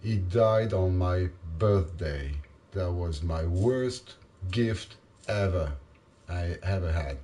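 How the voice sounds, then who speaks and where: A man, tired after a late night, rambling haltingly to the camera in a self-mocking way. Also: mellow. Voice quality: deep voice. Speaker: vlogger. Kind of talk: casual rambling monologue.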